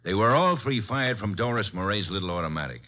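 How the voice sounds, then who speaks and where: A plain-spoken homicide inspector, played by a man, reports in a lowish, businesslike voice. The recording is dull, like old radio drama. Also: animated, brisk, natural voice. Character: matter-of-fact male homicide police inspector. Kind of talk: old-time radio detective drama acting.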